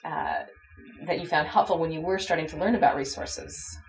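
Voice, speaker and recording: A woman on university staff interviewing a transfer student, brisk and saying "okay" often. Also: sits low, a little hiss or hum, poor audio. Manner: brisk, frequent okays, plugs programs. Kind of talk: friendly scripted interview.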